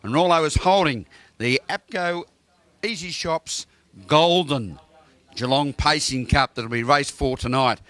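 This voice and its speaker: An Australian man hosting local television with folksy, effusive enthusiasm. His voice sits a little high and sounds bright, and he teases his guests. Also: measured pace, dry recording. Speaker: TV presenter. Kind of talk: local TV presenting and interviewing. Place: racecourse pavilion lounge.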